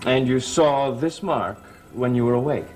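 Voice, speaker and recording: A probing, direct man, played by an actor in a gothic TV soap. He has a medium-pitched voice and presses patiently, with music clearly underneath. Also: normal speed, a little mellow, natural voice, rough recording. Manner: direct, questioning. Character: probing, insistent man gently questioning a troubled woman. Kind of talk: gothic soap opera acting. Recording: vintage TV soundtrack with music.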